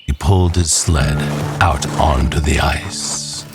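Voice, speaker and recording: A man with a very low voice tells a scripted tale at an unhurried pace. He draws his phrases out for dramatic weight, each one measured. Music plays clearly behind the narration. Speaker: storyteller.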